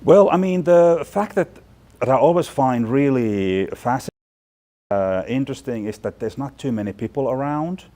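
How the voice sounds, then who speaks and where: A man's voice: medium pitch, lively intonation, moderate pace, mellow. A man in his forties from Finland, talking through thoughtful, chatty interview answers with plenty of fillers and restarts. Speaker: guest, fashion department chair. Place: large bright studio loft indoors.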